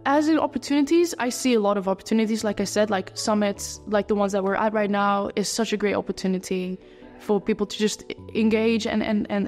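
A young Dutch woman with a Dutch accent, answering interview questions in a casual way, talking quickly and tripping over her words with many restarts. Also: medium pitch, darkish tone, big-room sound. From Netherlands. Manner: fast talker who trips over words, many restarts and fillers. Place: living-room set with lamp and window, indoors.

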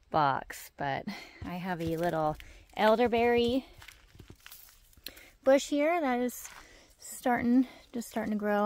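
Relaxed woman touring her garden outdoors. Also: on the high side. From Idaho, USA. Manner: relaxed, chatty, trailing off between points. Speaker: homesteader giving a garden tour. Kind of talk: casual walking garden tour. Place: outdoor fenced garden on a rural property.

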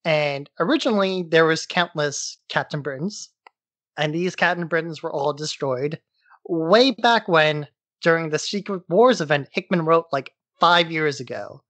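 A man's voice: on the high side, a little slow, a bit bright, dry recording. A man co-hosting a podcast, giving a casual, informal and irreverent plot recap. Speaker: podcast co-host.

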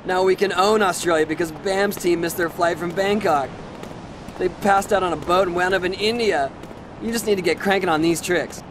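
A man's voice: sits high, normal speed, natural voice. An actor playing an easygoing, decisive pro skater who leads his team in a video game. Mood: upbeat. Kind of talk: video game cutscene acting. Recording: loud outdoor traffic noise.

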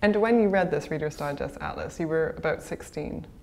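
Low, creaky-voiced woman interviewer. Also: fairly quick, a little mellow. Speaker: interviewer, magazine editor. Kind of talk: conversational interviewing. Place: small indoor office room, seated at a table.

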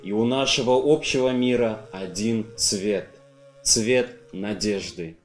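A young man presenting a formal event with slow, declamatory scripted lines. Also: fairly low pitch, faint music behind. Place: indoors in front of a logo backdrop. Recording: roomy.

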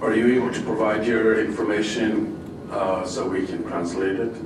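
A man's voice: on the low side, fairly even intonation, moderate pace. A man chairing a public board meeting, calling speakers in a polite, procedural way. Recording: echoey, distant, noisy room recording.

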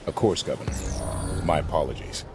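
An actor playing a calm male soldier leading a fire team, clipped and polite. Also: rumbling low, brisk, over loud music. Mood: steady. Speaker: squad leader.

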